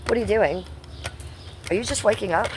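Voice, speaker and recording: A woman's voice: medium pitch, brisk, background noise. A middle-aged woman from Ohio, talking bluntly and sarcastically to her chat on a livestream, swearing freely. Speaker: livestream host.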